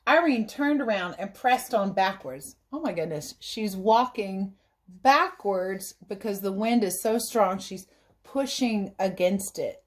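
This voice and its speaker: A woman reading a story aloud as a teacher, pausing to explain things simply to young listeners. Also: medium pitch, animated. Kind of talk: read-aloud storytelling with explanatory asides for children.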